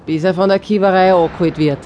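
An actress with a Viennese accent playing a blunt, suspicious, snide apartment-house gossip in a radio play. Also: female voice, fairly low pitch, fairly even intonation, fast talker, a little mellow, highs cut off, slight background noise, close to an everyday voice.

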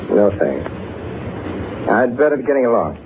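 An actor playing an aloof, dryly cynical gentleman, laconic and polite, in a 1930s radio drama. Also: medium pitch, very animated, moderate pace, lightly acted. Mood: aloof, apologetic. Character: aloof, dry, cynical gentleman horse-lover. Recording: narrow, faintly noisy 1930s radio recording.

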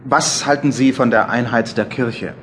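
A man's voice: medium pitch, normal speed, a bit bright, a little hiss or hum, close to an everyday voice. A man playing a thoughtful, mildly doubtful journalist who muses and questions himself over his diary in a German radio play. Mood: polite. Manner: musing, self-questioning.

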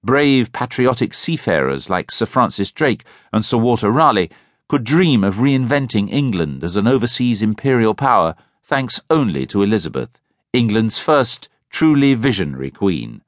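A man reading a history audiobook as narrator, in a measured, expressive manner with strong emphasis, close to a studio microphone. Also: lowish voice, no echo. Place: dry recording booth.

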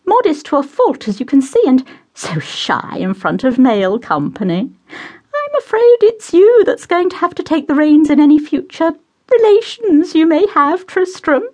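Shrill, squealing posh mother, meddlesome and gushing; very high and swooping. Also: big pitch swings, normal speed, a little mellow. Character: gushing meddling upper-class mother, middle-aged.

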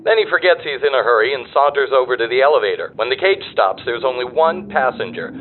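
An actor playing an easygoing, slangy male private detective in a radio drama, telling things in an animated way through a telephone filter. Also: medium pitch, fast talker. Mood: jaunty reporting. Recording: thin phone line, faint noise.

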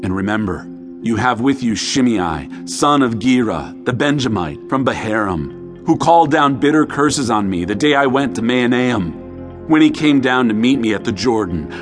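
A man playing a grave old dying king, giving counsel in a solemn, deliberate way in a scripture drama. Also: medium pitch, animated, close to an everyday voice. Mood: grave, admonishing. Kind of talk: dramatized scripture audiobook acting. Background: music.

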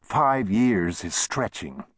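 A man's voice: sing-song, normal speed, slightly rough, no echo, heavily acted. Man playing a touchy, indignant small-town storekeeper. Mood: gloomy. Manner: heated, complaining, raised pitch.

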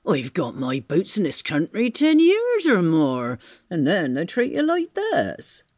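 A man's voice: sits high, wildly swooping pitch, measured pace, darkish tone, no room sound, clean studio sound, exaggerated voice. A man voicing a scruffy, bewildered tramp in an audiobook, muttering and exclaiming in slang. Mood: grumbling. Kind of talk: audiobook character voice.

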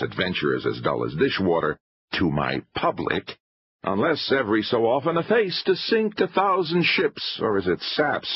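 A man acts out a hard-driving film-maker of thirty-five in a storybook adventure. His voice leaps high and swings widely as he insists and demands, with a husky edge. He is impatient and used to command. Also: no echo, dull audio, exaggerated voice. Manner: forceful, emphatic, insistent. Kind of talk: dramatised audiobook dialogue.

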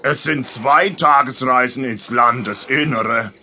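A man voicing a big, helpful, self-assured elephant in a children's audio drama, speaking deliberately. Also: medium pitch, heavy background noise, natural voice.

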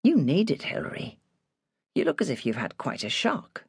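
A woman's voice: low-pitched, wildly swooping pitch, normal speed, gravelly, a little mellow, breathy edge, no room sound, clean studio sound, heavily acted. Woman voicing a firm, reassuring estate manager. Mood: quiet, caring. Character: firm, protective male estate manager, steady and caring.

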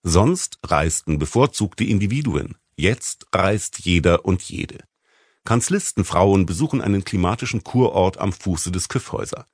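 Deep-voiced man narrating with expressive range. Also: normal speed, husky, no echo, studio quality. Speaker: audiobook narrator. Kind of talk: scripted literary narration.

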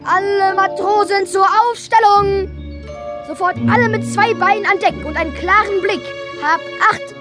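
A woman's voice: very high-pitched, steady tone, moderate pace, loud music behind the voice. An actress playing a tiny, cheeky, confident young boy in a children's radio play, chirpy and showy in manner. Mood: commanding. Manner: chirpy, self-assured, showy.

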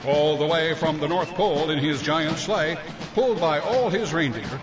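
An actor playing a genial, showy parade announcer in an audio drama. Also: male voice, on the high side, moderate pace, noisy background, lightly acted. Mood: showy, festive. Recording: muffled, loud parade music behind.

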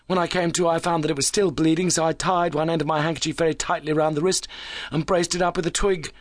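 Guy doing an overwrought young wounded engineer for an audiobook, voice way up high, earnest and polite. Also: fast, dry recording, lightly acted. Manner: excitable, earnest, well-mannered. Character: young injured male engineer, overwrought but plucky. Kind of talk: audiobook character voice.

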